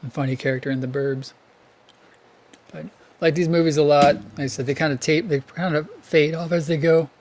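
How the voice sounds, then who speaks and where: A hoarse man who is losing his voice, hosting a casual collector vlog, rambling and correcting himself as he thinks aloud. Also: a little high, fairly even intonation, brisk, darkish tone. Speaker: VHS collector channel host.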